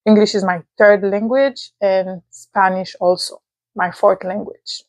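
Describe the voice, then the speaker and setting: A young woman with a Haitian accent, a native speaker of Haitian Creole and French, giving a thoughtful advice talk to camera with pauses and fillers. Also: medium pitch, fairly even intonation, no room sound. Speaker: vlogger.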